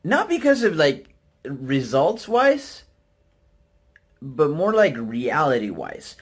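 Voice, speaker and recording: A man's voice: fairly high pitch, wildly swooping pitch, slow. A man from Utah with an American accent talks casually and candidly as a vlogger, in a hesitant, self-deprecating, rambling way with informal slang. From Utah, United States. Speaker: vlogger, network marketer. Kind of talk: casual, candid talk to camera.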